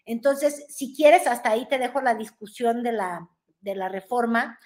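A Mexican woman with a high, bright-sitting voice talks politics in a playful, teasing way. She is in her thirties and joins by video call from a room at home, gesturing as she speaks. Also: normal speed. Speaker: guest commentator. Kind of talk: casual political chat.

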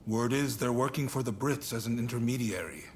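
An actor playing a shrewd, commanding shogunate official in a video game, authoritative, deliberate and a little wry. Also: male voice, medium pitch, slight background noise, close to an everyday voice. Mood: grave, approving. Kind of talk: video game cutscene acting.

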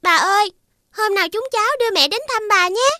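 A sweet, very high-pitched young girl. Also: a little fast, bright, forceful tone, no echo, studio quality. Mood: polite. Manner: sweet, polite, generous. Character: kind, cheerful young girl.

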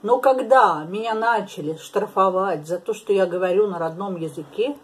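A woman's voice: medium pitch, moderate pace, slight background noise. An older woman from Latvia, interviewed in a small living room and speaking frankly, with laughing asides, to a camera across the table. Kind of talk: casual interview.

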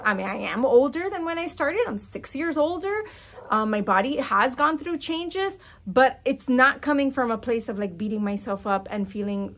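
A woman's voice: fairly high pitch, a bit bright. A woman in her forties chatting frankly to camera as a fitness coach, talking with her hands on an outdoor patio. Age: 40s. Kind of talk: casual chat to camera.

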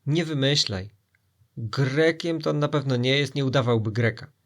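A man's voice: mid-range voice, moderate pace, natural voice. A man voicing a suspicious, argumentative sailor in a children's adventure story. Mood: bickering. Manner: loud, argumentative. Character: suspicious, bickering male sailors guessing at a spy.

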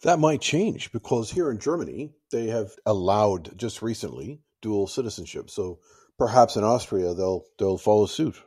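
A man hosting a podcast, relaxed and conversational. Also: on the low side, normal speed, slightly rough. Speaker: podcast host. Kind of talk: interview hosting.

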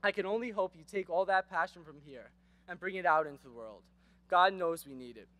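A man's voice: high-pitched, lively intonation, fairly quick, sharp tone, no room sound. A teenage boy giving a prepared graduation speech at a podium microphone on an outdoor stage, earnest and rhetorical with wry asides. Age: teens. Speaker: graduation speaker.

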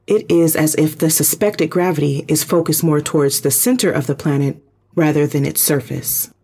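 A spaceship's artificial intelligence, voiced by an actor in a sci-fi audio drama. The voice is mid-pitched and slightly husky, delivering reports in a calm, formal, precise way. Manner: formal, measured, literal.

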